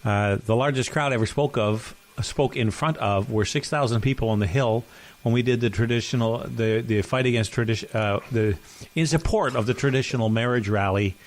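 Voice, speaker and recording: A middle-aged man co-hosting a chat podcast, voice sitting at an ordinary middle pitch. He rambles through his stories, correcting himself as he goes, and now and then he coughs. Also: normal speed. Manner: rambling, self-correcting storyteller. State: occasional cough. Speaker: podcast co-host. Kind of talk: casual chat.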